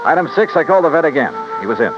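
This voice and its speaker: A man's voice: on the high side, very animated, rapid-fire. An American man playing a tough, matter-of-fact insurance investigator who narrates his case in old-time radio drama style. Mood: wry narration. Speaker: radio detective lead and narrator. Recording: dull vintage radio recording with music.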